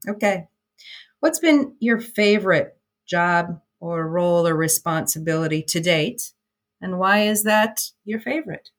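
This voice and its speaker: A woman hosting an interview podcast, asking her guest clear, measured questions. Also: fairly low pitch. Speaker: podcast host.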